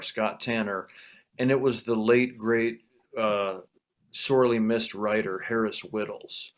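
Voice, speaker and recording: A man hosting a conversation over a video call, talking in a rambling, self-deprecating way with many restarts. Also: fairly low pitch. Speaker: event host.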